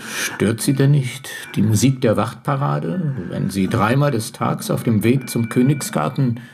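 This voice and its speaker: Gravelly, obliging neighbour. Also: male voice, medium pitch, measured pace, darkish tone, slight background noise. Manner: polite, formal. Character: deferential, obliging male neighbour of a professor. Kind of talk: German radio play acting.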